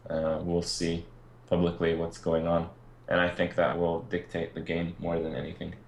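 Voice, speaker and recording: A man's voice: low voice, monotone, moderate pace, vocal fry. A man from Canada, a laid-back, offhand guest in a casual gaming chat. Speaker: podcast guest, gamer. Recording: echoey room, faint background noise.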